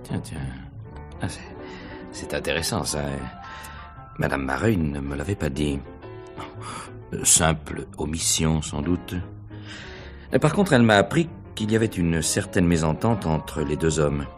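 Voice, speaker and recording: Deep-voiced, wry investigator. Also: male voice, animated, unhurried, long pauses, music in the background, exaggerated voice. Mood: wry, insinuating. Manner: insinuating questions, hesitant repetitions, knowing chuckles. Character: probing, wry male police investigator, middle-aged. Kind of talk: 1950s French radio mystery acting.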